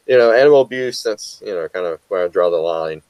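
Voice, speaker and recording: A man's voice: sits low, lively intonation, fast, a little mellow, dry recording, rough recording. A young American man co-hosting a casual talk show, rambling with lots of fillers and restarts. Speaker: co-host. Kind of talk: casual chat.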